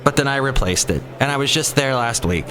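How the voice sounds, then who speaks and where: A man's voice: mid-range voice, lively intonation, fairly quick, over music. Rambling chatty male podcast host. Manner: rambling, chatty, self-interrupting. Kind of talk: casual solo podcast chat.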